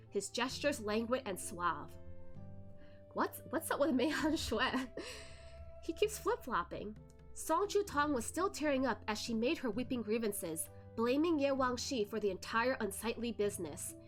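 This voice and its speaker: High-voiced woman narrating a story. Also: very animated, measured pace, faint music behind. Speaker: host of a novel read-along, virtual streamer. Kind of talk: expressive story narration with casual asides.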